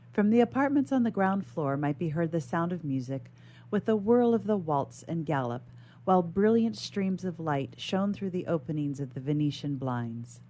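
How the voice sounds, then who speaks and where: A woman's voice: low voice, mellow, a little hiss or hum. A woman reading a classic novel aloud as a guest reader on a radio show.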